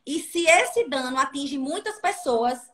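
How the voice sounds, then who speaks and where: A woman's voice: high voice, unhurried. A woman with a northeastern Brazilian accent from Sergipe, a labor inspector giving practical tips in a livestream talk and often checking in with her listeners. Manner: animated, instructive, checks in with "tá?".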